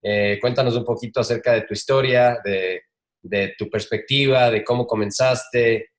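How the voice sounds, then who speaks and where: A man hosting a podcast, casually prompting his guest with gentle questions. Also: mid-range voice, fairly even intonation, moderate pace. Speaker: podcast host.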